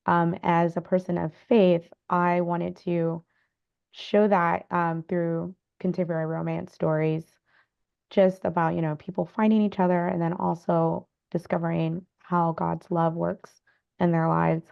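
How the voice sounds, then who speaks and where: A woman's voice: on the low side, flat delivery, a little slow, gravelly, dull audio. A woman speaking as a guest author, telling her own story casually. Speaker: panel guest, novelist.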